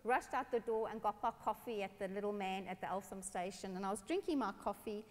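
A woman from South Africa, a pastor telling personal stories in a lively sermon on a church stage. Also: mid-range voice, a little fast. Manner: animated, expressive, gestures while speaking. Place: large dark church stage. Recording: long church hall reverb.

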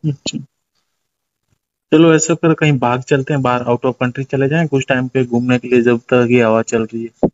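A man's voice: mid-range voice, fairly even intonation, normal speed, darkish tone. A man chatting casually in a private phone conversation, heard on a muffled lo-fi call recording.

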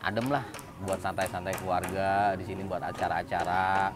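A man's voice: low voice, fairly even intonation, moderate pace. A man in his thirties in a casual interview as a customer, eagerly repeating his points. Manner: repeats himself, enthusiastic. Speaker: restaurant customer. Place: open bamboo hut restaurant. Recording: loud outdoor noise.

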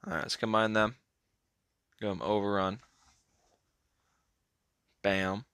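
A young man casually commenting on a mobile card game as he plays, hoarse and clearing his throat, his voice trailing off in a laid-back mumble. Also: fairly low pitch, fairly even intonation, slow pace, a bit bright, no echo, studio quality.

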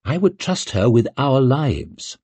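A man voicing a cool, ruthless young noblewoman with a crisp, commanding manner, raised well above his own voice. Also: mid-range voice, sing-song, a little mellow, dry recording, pitched above the performer's own voice, exaggerated voice. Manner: crisp, commanding, quick to argue.

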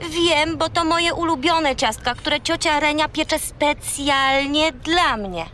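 A woman's voice: high voice, slow pace, sharp tone, slight background noise, exaggerated voice. An actress playing a bossy, exasperated young girl who scolds and corrects in a children's radio play. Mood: indignant.

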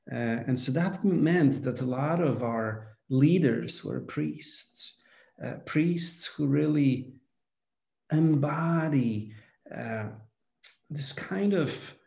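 A man in his forties from Poland, a guest telling his life story in a halting way, with many fillers. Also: medium pitch, gravelly, darkish tone, echoey room. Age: 40s. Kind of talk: reflective conversation.

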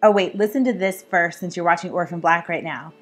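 A woman's voice: fairly low pitch, a little fast, faint music behind. A woman who is an author, reading her own book aloud in a scripted style. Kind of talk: scripted read-aloud narration.

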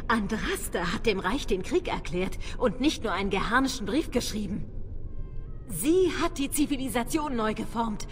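Earnest, desperate rebel sorceress, fairly high voice, acted. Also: female voice, a little hiss or hum, lightly acted. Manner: earnest, pleading, impassioned. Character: defeated female blood mage rebel, earnest and desperate. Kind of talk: dramatic fantasy video game acting.

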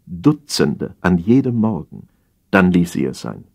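A man narrating a humorous satire as an audiobook reader, in an expressive, measured storytelling manner. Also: deep voice, gravelly.

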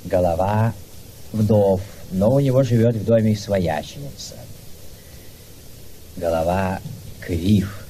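A male narrator tells a story for a radio play in a low, mellow voice. He phrases deliberately and theatrically over clearly audible background noise. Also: low-quality recording.

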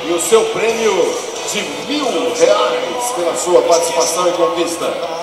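Distant, booming announcer in a hall. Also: male voice, measured pace. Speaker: stage announcer. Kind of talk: slow public-address announcement. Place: large event hall with stage. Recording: distant echoey hall PA over loud music and crowd.

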